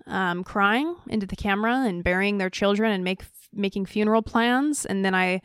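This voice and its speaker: Clear, smooth-voiced female podcast co-host. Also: mid-range voice, no echo, studio quality. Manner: hesitant, earnest, repeats words as she searches. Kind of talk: casual opinion talk.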